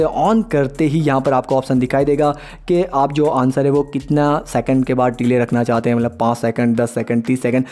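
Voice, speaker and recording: A man's voice: mid-range voice, darkish tone. A man hosting a smartphone tips tutorial in an informal, chatty way, talking to viewers like buddies. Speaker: tech tutorial host.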